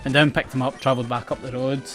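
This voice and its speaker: A man's voice: mid-range voice, level tone, quick pace. Chatty west coast Scottish man. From Scotland. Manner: chatty, rambling, self-mocking, often restarts words and laughs. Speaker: gaming channel host. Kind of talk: casual game commentary. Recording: game music playing faintly behind.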